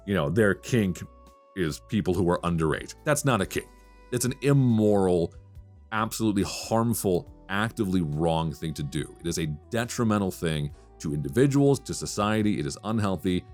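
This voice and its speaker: Low-voiced man hosting a late-night podcast. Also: darkish tone. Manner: measured, articulate, thoughtful delivery. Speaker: podcast host; voice actor. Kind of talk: late-night radio style chat.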